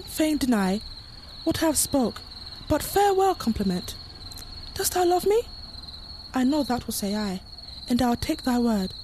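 Young woman acting a high-voiced, lovestruck Shakespearean girl. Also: warm tone, a little hiss or hum. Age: young adult. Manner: earnest, poetic verse delivery. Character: passionate, anxious young girl in love. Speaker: student actor. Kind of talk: Shakespearean audio drama acting.

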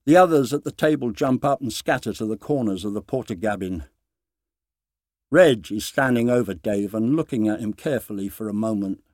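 A man narrating a short story dramatically, with deliberate phrasing and dramatic pauses. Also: mid-range voice, a little mellow, dry recording, clean studio sound.

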